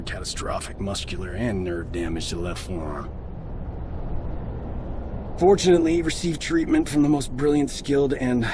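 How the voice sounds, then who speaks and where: A man's voice: low-pitched, close to an everyday voice. An actor playing a vain, boastful male doctor in a video game cutscene, speaking with theatrical self-satisfaction over a noisy background. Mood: smug, boastful. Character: vain, cocky male doctor who boasts of skill and looks.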